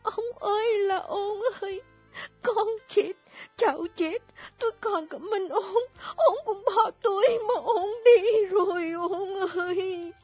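A woman's voice: very high voice, slow pace, faint music behind, voice pushed up. A woman reading a story voices an old peasant widow wailing and sobbing over her dead husband. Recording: dull lo-fi recording.

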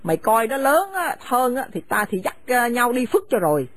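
An actress playing a furious, domineering mother-in-law who shouts insults at her son's wife. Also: a little high, sing-song, very slow, exaggerated voice. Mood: scolding. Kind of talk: radio drama acting.

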